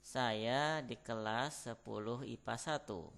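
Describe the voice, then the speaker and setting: A man with an Indonesian accent, teaching beginner Arabic by reading short Indonesian phrases as prompts and pausing for answers. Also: mid-range voice, gravelly, a bit bright, clean tone. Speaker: Arabic tutor. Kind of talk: prompting vocabulary drills.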